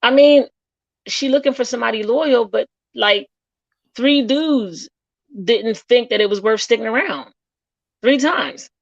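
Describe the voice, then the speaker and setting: A woman with a creaky, gravelly voice at a middling pitch gives blunt opinions as a panelist. She talks casually and colloquially over dull, muffled audio. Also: lively intonation, unhurried, pauses often, dry recording.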